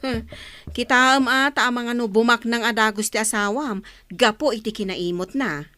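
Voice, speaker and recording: A woman's voice: a little high, normal speed, pushed and bright, no echo. A woman playing a frank, sympathetic but critical relative in a radio drama.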